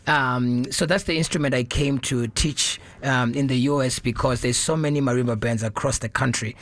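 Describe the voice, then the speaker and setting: A man's voice: medium pitch, steady tone, moderate pace, a bit bright, no room sound. A man from Zimbabwe with a Zimbabwean accent, answering casually and explaining things as an interview guest, often repeating words as he goes. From Zimbabwe, grew up in Harare. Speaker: interview guest, musician and marimba teacher. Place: radio studio.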